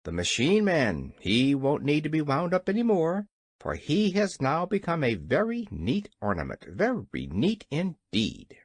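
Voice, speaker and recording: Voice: mid-range voice, huge pitch swings, unhurried, husky, no room sound, clean studio sound, heavily acted. A narrator voicing a pompous, tyrannical little gnome king in a storybook, roaring and exclaiming. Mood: smug. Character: blustering, excitable little male gnome king, tyrannical villain.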